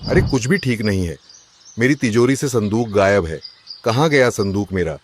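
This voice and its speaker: A man playing an agitated, suspicious rich merchant husband in a cartoon, loud and complaining. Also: lowish voice, expressive, moderate pace. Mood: distressed. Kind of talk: Hindi cartoon story voice acting.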